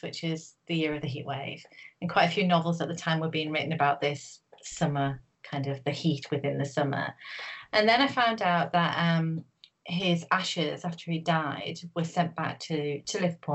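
A woman from Newcastle, an author guest talking casually in an interview, rambling with many fillers and restarts. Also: low-pitched. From Newcastle, England.

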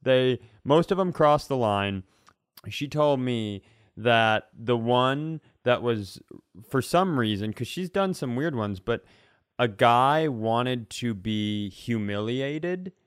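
A man's voice: medium pitch, lively intonation, slow pace, clean tone, dry recording, studio quality. A man co-hosting a comedy podcast, chatting casually and acting out imagined quotes as he tells stories.

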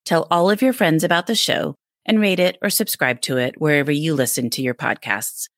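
A woman speaks as a podcast host in a conversational style. Her voice sits a little low and the sound is very dry, with no room around it. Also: fairly quick, dry recording. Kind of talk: casual podcast chat and sign-off.

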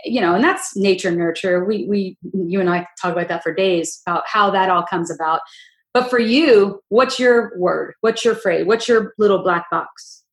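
A woman hosting a podcast in casual chat, with a deep, gruff voice, talking loudly and in long, winding stories. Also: moderate pace. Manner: loud, opinionated, rambling storyteller. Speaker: podcast host. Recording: roomy echo.

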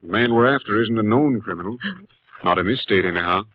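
A man's voice: on the low side, fast talker, dry recording, close to an everyday voice. A man playing a subdued, matter-of-fact police captain in a 1950s radio drama. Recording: dull, dry old radio recording.

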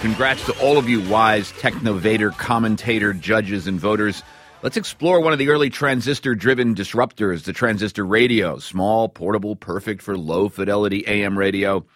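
A man's voice: fairly low pitch, moderate pace, fairly bright tone. A man with an American accent hosting a radio show, presenting scripted intros and interviewing in a studio.

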